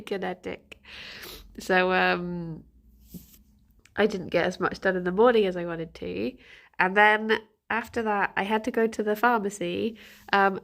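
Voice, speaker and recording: A woman's voice: medium pitch, a bit bright. A young woman streaming from home, chatting casually and greeting viewers by name, a little congested with a stuffy nose. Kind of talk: casual morning chat with viewers.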